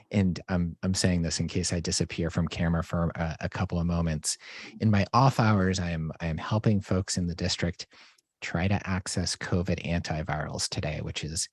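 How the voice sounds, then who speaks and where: Very deep-voiced man on a video call. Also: fairly even intonation, normal speed, breathy edge, no room sound. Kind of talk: video call panel introduction. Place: home room with a bookcase.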